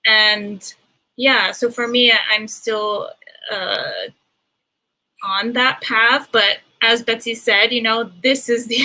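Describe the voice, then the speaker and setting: A woman from Hawaii sharing personal reflections as a panelist, halting and thoughtful as she searches for words. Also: mid-range voice, sharp tone, rough recording.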